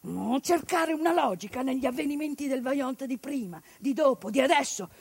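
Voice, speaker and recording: A woman performing a theatrical monologue, narrating dramatically, moving between hushed and declaimed delivery. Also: high voice, lively intonation, fairly quick, husky, no room sound.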